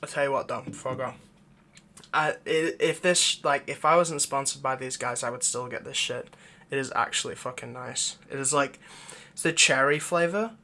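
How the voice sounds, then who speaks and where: A man's voice: medium pitch, moderate pace, sharp tone, a little hiss or hum. A man who creates audio roleplays, chatting casually in a rambling, hesitant, informal way as he addresses his viewers. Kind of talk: casual outro chat with plugs.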